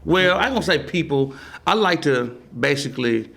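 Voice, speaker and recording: A man's voice: fairly high pitch, lively intonation, moderate pace, vocal fry, a bit bright. A man in his forties, a playwright guest on a podcast, talking loosely and emphatically with lots of "you know" and easy laughs. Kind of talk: casual conversation.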